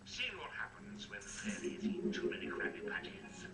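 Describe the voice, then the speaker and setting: An actor playing a scheming mad scientist professor in a cartoon, grandiose, gleeful and gloating. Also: male voice, low-pitched, wildly swooping pitch, moderate pace, creaky, distant mic, full character voice. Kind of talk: cartoon villain monologue. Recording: roomy game audio under loud music.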